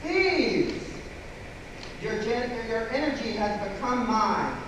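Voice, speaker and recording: A woman acts an ancient villainess of sorcery, imperious and vengeful. She declaims slowly and grandly at a live table read. The recording is distant and swims in heavy hall echo, with clear background noise. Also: a little high, lively intonation. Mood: wrathful. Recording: echoey convention hall, far off, noisy.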